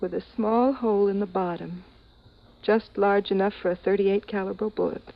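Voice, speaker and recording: An American actress playing a seemingly fragile but cunning woman, soft and theatrical, in a 1950s radio drama. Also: mid-range voice, steady tone, normal speed, natural voice. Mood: coolly mocking. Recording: slightly noisy, dull vintage radio broadcast.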